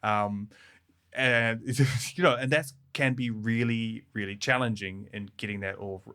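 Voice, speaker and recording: Bright-voiced New man. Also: lowish voice, fairly even intonation, unhurried. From New Zealand. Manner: friendly, laughs easily, many fillers and restarts. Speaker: developer advocate guest. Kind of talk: casual podcast interview.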